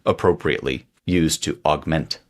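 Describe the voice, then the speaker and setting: A man's voice: a bass voice, normal speed. A man co-hosting a tech podcast, chatting casually and joking playfully. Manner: playful, jokey, tangents. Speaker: podcast co-host.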